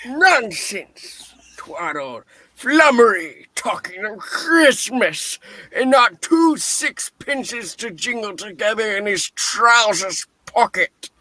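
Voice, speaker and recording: Miserly old male boss for a radio play, man acting: high, bright, slow-paced, irritable and scornful. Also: big pitch swings, no echo, full character voice, low-quality recording. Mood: scolding, scornful. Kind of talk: dramatic play reading, radio-play style.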